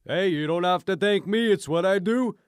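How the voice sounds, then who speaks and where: A man playing a self-assured crackpot conspiracy theorist, explaining emphatically and deliberately in an improvised radio comedy interview. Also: high voice, clean tone, no echo, clean studio sound, lightly acted. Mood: smug, revelatory.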